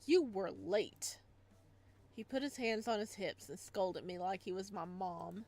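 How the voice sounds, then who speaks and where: A woman's voice: medium pitch, moderate pace, darkish tone. A woman hosting a playthrough, reading visual novel narration aloud expressively, with casual asides.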